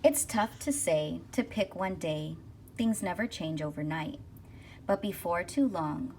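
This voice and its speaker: A woman with a slightly low voice reads a children's picture book aloud. She phrases the rhyming verse expressively and deliberately. The microphone sits a little way off, with faint noise behind her. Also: creaky, darkish tone. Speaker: school counselor reading aloud. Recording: distant mic with faint noise.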